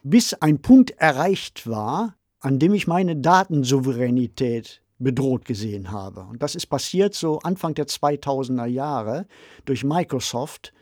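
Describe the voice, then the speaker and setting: A middle-aged or older man co-hosting a podcast, talking in a measured, deliberate and articulate way. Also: medium pitch, animated, darkish tone, dry recording, clean studio sound. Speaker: podcast co-host. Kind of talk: conversational podcast discussion.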